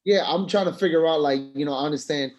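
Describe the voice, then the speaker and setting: A man's voice: a little high, quick pace. Man talking casually in a discussion. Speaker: film student in a group meeting.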